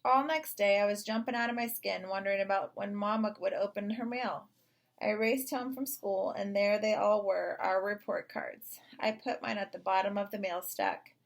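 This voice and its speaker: Woman reading a kids book out loud, expressive, middling pitch. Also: normal speed, gravelly. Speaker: storybook reader. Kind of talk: expressive read-aloud narration of a children's story.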